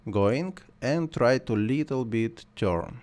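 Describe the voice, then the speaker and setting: A man whose first language is Russian or Ukrainian, talking through a screen-recorded software tutorial while he works, in simple, halting English. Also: medium pitch, lively intonation. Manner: thinks aloud while working, simple non-native phrasing.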